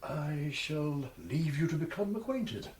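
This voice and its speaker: An animated, formal Victorian father. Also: on the high side, unhurried, slight background noise. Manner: formal, hesitant. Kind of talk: period audio drama acting.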